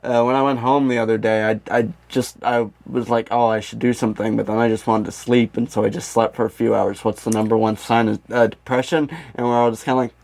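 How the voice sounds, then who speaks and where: A man's voice: medium pitch, fast talker, creaky, few pauses, poor audio. A young American man co-hosting a podcast, swearing casually as he tells comic stories and acts out what people said. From United States. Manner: sweary, animated retelling with quoted voices. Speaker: podcast co-host. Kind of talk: casual comedic storytelling chat.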